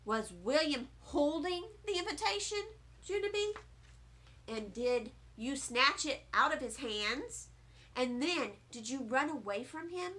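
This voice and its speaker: Woman acting a stern, slow-speaking female schoolteacher. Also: high voice, big pitch swings, pushed and bright, exaggerated voice. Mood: questioning. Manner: firm, deliberate, scolding. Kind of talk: children's storybook reading.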